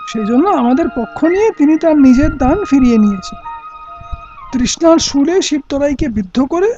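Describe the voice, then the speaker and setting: A man's voice: very high voice, expressive, moderate pace, mellow. A man playing a principled, defiant older royal uncle in an audio play, with earnest, poetic oratory. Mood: reproachful. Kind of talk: Bengali radio play acting. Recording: dull lo-fi audio with music.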